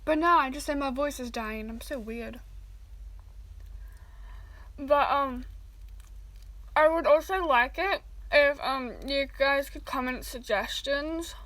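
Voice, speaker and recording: High voiced girl rambling w lots of ums, eating while she talks, reading fanfic at home. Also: slow, pauses often, fairly bright tone, no echo, low-quality recording. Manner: rambling, filler-heavy. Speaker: fan fiction reader. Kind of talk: reading fan fiction aloud and casual chat.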